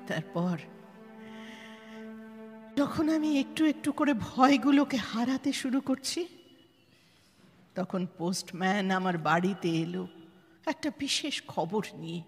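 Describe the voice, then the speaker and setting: A woman acting as a resolute survivor in a radio-style play, with a Bengali accent and an animated, swooping delivery. Her voice is bright yet husky, and she speaks with emphatic, dramatic pauses in a long reverb. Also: mid-range voice, exaggerated voice. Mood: grave, composed. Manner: dramatic, emphatic, deliberate pauses, switches into English. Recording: reverberant hall effect under music.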